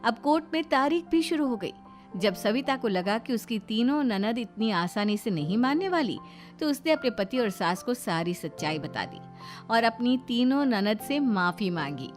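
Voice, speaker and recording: High-pitched woman storyteller over music. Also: expressive, normal speed. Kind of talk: scripted story narration.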